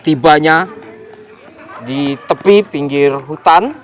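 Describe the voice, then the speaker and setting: A storyteller telling a folk tale to children, animated and often addressing the young listeners directly. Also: mid-range voice, takes it slowly, slight background noise.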